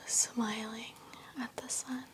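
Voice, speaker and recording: A woman doing a soft-spoken ASMR relaxation roleplay in a gentle, soothing, breathy whisper. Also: on the high side, flat delivery, noisy background. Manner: slow, gentle, soothing affirming delivery. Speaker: ASMR host.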